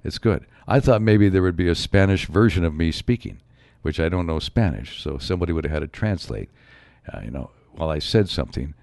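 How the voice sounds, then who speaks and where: A man hosting his own show in a rambling solo monologue, his voice a bit raspy from a slight cold. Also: sits low, lively intonation, a little fast, darkish tone, dry recording. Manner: rambling, musing, frequent asides. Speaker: show host.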